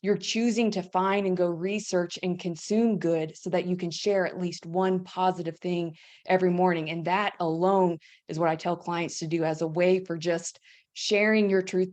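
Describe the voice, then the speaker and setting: A woman's voice: on the low side, steady tone, moderate pace, darkish tone. A woman hosting a webinar, speaking in a conversational, upbeat way with fillers. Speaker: host. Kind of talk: webinar chat.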